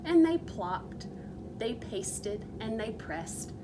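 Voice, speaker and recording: A woman reading a picture book aloud expressively, with theatrical, drawn-out emphasis on repeated words. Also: a little high, very animated, noisy background. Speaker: reader of a children's storybook.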